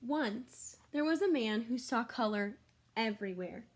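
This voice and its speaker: Female teacher, higher-pitched, expressive picture-book read-aloud for children, chatty and explanatory, speaks directly to listeners. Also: unhurried.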